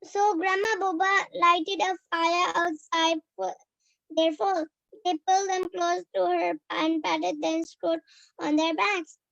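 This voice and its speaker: A young girl with an Indian English accent reading a children's story aloud, slowly and haltingly, with restarts. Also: very high-pitched, level tone, creaky, fairly bright tone, no room sound, lo-fi sound.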